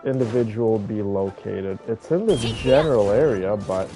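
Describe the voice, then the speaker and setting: Low, gravelly man commentating a game. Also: moderate pace, over music. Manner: thinking aloud, talking to viewers. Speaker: game streamer playing and commenting. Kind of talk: casual gameplay commentary.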